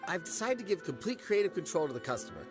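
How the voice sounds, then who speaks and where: A man's voice: sits high, big pitch swings, fast, over music. An actor playing an eager, earnest young man who runs a drink stall in a video game.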